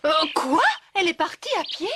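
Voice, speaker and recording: An actress playing a kindly schoolmistress who loves spirited children, expressive and enthusiastic, in a dubbed cartoon with music behind. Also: female voice, very high-pitched, a little fast, very noisy. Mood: alarmed.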